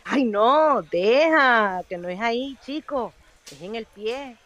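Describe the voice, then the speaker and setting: A woman acts out a barkeeper's lovestruck young daughter in a comic radio soap. Her voice is fairly high, with a Venezuelan accent and a coy, feisty, colloquial way of talking. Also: expressive, takes it slowly, a bit bright. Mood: coy, scolding. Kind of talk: humorous radio soap acting.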